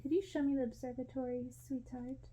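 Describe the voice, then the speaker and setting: A woman's voice: high voice, level tone, fairly quick, dark tone, slight background noise, lightly acted, low-quality recording. A woman reading a storybook in ASMR style, voicing a timid, sweet young princess with a meek, girlish manner. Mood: meek, polite.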